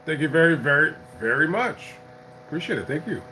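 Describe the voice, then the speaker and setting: A tipsy man live-streaming as a YouTuber, chatting casually in a rambling, halting way full of restarts. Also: a little high, expressive, bright, forceful tone, slight background noise, low-quality recording. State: tipsy from drinking. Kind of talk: casual livestream chat.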